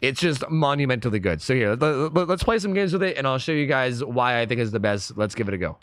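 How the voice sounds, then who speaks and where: A young man with a hoarse, partly lost voice, chatting casually as a gaming YouTuber explaining his build. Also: medium pitch, expressive, fairly bright tone, dry recording. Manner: chatty, fast and filler-heavy. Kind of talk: casual gameplay commentary.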